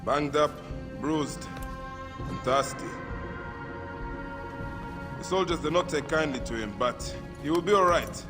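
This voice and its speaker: A man's voice: a little high, measured pace, pauses often, over music, close to an everyday voice. An actor playing an earnest, protective and determined desert lawman in a dramatic game cutscene. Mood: concerned.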